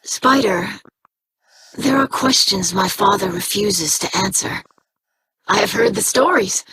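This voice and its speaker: A woman's voice: medium pitch, expressive, unhurried, noisy background. A woman playing an earnest young female alien scribe in a video game, speaking formally and inquisitively.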